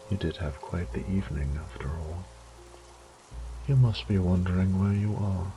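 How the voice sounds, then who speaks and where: Man playing a deep, slow, menacing vampire lord. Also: vocal fry, full character voice, poor audio. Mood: condescending, composed. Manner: slow, theatrical, mock-tender and condescending, lapsing into whispers. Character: bored, predatory ancient male vampire lord, suavely menacing. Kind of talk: dramatic horror audio roleplay monologue.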